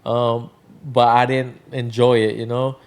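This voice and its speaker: A man from Venezuela in his thirties talks at an easy, unhurried pace. His voice sits at an ordinary middle pitch. He rambles with plenty of fillers. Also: clear, resonant tone. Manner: relaxed, rambling, many fillers. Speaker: guest, drink brand founder. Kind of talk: casual interview chat.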